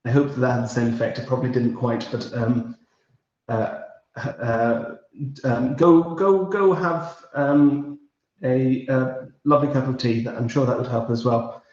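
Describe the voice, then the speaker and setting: A man's voice: mid-range voice, steady tone, a little slow, a little mellow. Male quiz host, Belgian-raised, easygoing meandering chat; roomy, slightly muffled home audio. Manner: chatty and meandering, friendly asides. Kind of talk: casual livestream chat, reading out messages.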